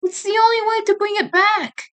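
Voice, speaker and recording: A woman voices a bold young creature sister from a fantasy storybook. She sounds urgent and insistent, her voice raised very high. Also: steady tone, moderate pace, a bit bright.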